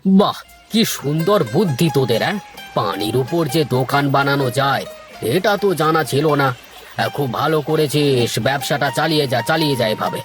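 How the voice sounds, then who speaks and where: A man's voice: fairly high pitch, animated, brisk, faint music behind, lightly acted. A man voicing a stern, bossy village landlord in a cartoon, with a pompous, commanding manner. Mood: approving. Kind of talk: Bengali moral-story cartoon acting.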